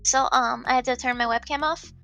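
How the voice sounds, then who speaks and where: A woman's voice: sits high, steady tone, fairly quick, creaky, bright, forceful tone. A woman chatting casually as she runs a livestream. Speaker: live streamer. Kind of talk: casual stream chat. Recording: music playing behind the voice. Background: music.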